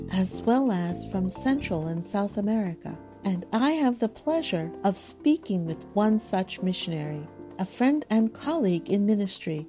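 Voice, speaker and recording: A woman hosting a podcast, reading a measured introduction and asking inviting questions. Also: mid-range voice, warm tone. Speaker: podcast host. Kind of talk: scripted intro and interview questions.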